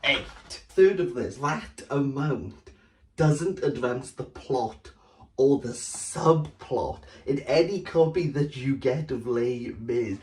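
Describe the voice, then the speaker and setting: A man's voice: mid-range voice, slow, darkish tone. A man ranting about a reading challenge in an exasperated, dramatic way, in a clip from his own video. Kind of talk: vlog monologue. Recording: roomy, distant, faint hiss.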